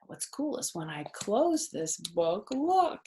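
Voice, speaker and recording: A middle-aged woman reads a picture book to young children at a very slow, deliberate pace. Her voice sits at a medium pitch, and she speaks simply, in the manner of storytime with chatty asides. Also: very animated, dark tone, slight background noise. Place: small furnished living room, indoors.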